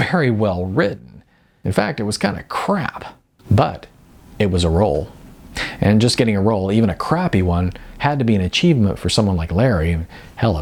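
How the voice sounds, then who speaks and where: A man narrating a story in an expressive storytelling style. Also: low-pitched.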